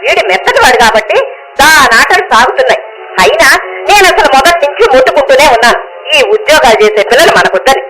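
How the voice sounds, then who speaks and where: A woman's voice: high voice, animated, quick pace, poor audio. An actress playing a complaining middle-aged mother-in-law in a Telugu radio drama, nagging sarcastically with indignant rhetorical questions. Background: music.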